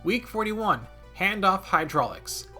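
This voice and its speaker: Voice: medium pitch, very animated, takes it slowly, bright, forceful tone, faint music behind. A video game commentator narrating a scripted gaming video with wry, playful asides.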